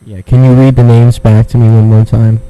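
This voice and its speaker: A man's voice: medium pitch, little pitch movement, brisk, dark tone, smooth. A man making a prank phone call, talking in a crude, taunting way and swearing, over a noisy phone line. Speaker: prank caller.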